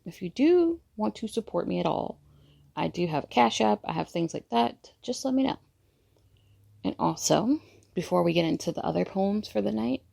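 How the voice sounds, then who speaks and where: Woman poetry podcaster, lots of vocal fry, mellow and unhurried, dry close sound. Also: mid-range voice, dry recording. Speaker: podcast host and poet. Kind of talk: intimate spoken-word poetry reading and casual podcast chat.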